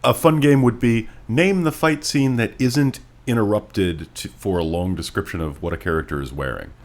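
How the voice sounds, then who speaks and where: Deep-voiced man, wry and casual. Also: normal speed. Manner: wry, comic griping. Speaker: podcast co-host. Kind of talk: casual book discussion.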